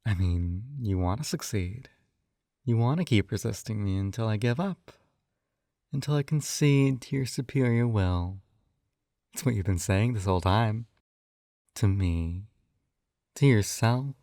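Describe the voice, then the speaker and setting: Man playing a possessive male hypnotist, soft velvety low voice, gravelly edge, coaxing, smugly tender, ASMR roleplay. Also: no echo, clean studio sound. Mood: persuasive, sly. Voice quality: soft, velvety, breathy whispers at times. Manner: slow coaxing, teasing tag questions. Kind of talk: intimate ASMR roleplay audio drama.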